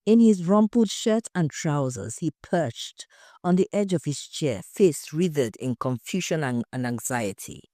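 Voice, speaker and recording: A woman narrating a scripted story in a measured, dramatic way. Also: low-pitched, lively intonation, dark tone, no echo, studio quality. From Nigeria.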